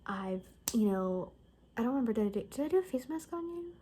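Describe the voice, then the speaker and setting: A woman playing a chatty, gossipy friend in an apocalypse ASMR roleplay, soft-spoken and breathy, often slipping into whispers, with casual rambling speech. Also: a little high, animated, fast talker. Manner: rambling, casual, gossipy, full of filler words.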